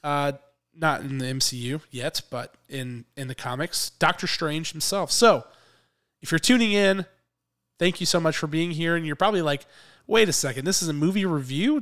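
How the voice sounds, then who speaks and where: A man co-hosting a podcast in casual chat, a little hoarse from a cold, chatty and quick to laugh. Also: a little high, a bit bright. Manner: chatty, laughs often, restarts phrases. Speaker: podcast co-host.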